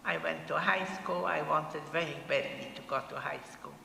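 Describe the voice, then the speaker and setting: A woman, giving her personal testimony to an audience in halting, short, plain phrases. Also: deep voice, vocal fry, pushed and bright. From Poland. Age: 80s. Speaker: Holocaust survivor speaker. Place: synagogue hall. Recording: echoey hall, faint room noise.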